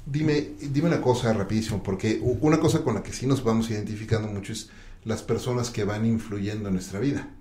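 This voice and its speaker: Male podcast host, deep warm voice, echoey room, conversational questions. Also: a little fast. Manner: fluent, with filler "eh" and repeated words when starting. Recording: roomy echo.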